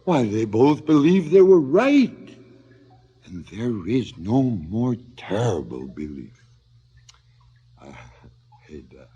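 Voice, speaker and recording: An actor playing a cynical, philosophizing hired killer in a radio drama, sardonic and theatrical. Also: male voice, medium pitch, big pitch swings, slow, pauses often, mellow, breathy edge, exaggerated voice. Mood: dark confession. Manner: sardonic, theatrical, swinging from muttering to outbursts. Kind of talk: 1970s radio drama acting. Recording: slightly dull 1970s radio recording.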